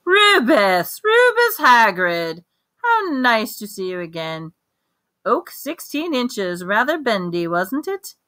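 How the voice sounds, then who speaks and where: An eccentric old man who sells wands, voiced by a woman reading a story. He drawls in a lilting way, then jabs with sudden sharp emphasis, and he probes every word with a bright, forceful tone. The sound is dry and close. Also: mid-range voice, expressive, a little slow, dry recording. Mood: probing. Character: eccentric old male wandmaker, intense and probing.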